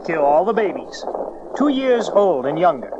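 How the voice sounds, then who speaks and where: A man's voice: sits high, big pitch swings, moderate pace. A man playing a hardened, fatalistic Roman soldier in a dramatized audio Bible, matter-of-fact in delivery. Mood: grim, resigned. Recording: dull cassette, very noisy background.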